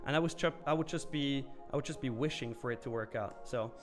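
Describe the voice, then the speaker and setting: A man's voice: mid-range voice, brisk, faint music behind. A man hosting a live stream, chatting loosely and candidly as he answers viewers' comments, with frequent fillers and the odd curse. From Switzerland. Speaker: live trading stream host.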